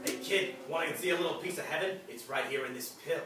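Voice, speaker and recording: Man playing a fast-talking, furtive street pusher. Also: fairly high pitch, lightly acted. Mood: sly, coaxing. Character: shady male street drug pusher, furtive. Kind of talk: comic live radio play acting. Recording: echoey live room, distant mic, music.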